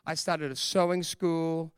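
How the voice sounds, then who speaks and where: A man voicing a brisk rich Australian lady. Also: on the high side, flat delivery, clean tone, no room sound, studio quality, lightly acted. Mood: matter-of-fact. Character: wealthy retired woman, brisk and bold.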